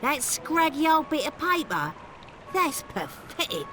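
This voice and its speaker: An actress playing a cocky young treasure-hunting thief in a video game, with an English accent and slangy, teasing talk. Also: female voice, high-pitched, big pitch swings, measured pace, music in the background. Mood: dismissive. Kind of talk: video game cutscene acting.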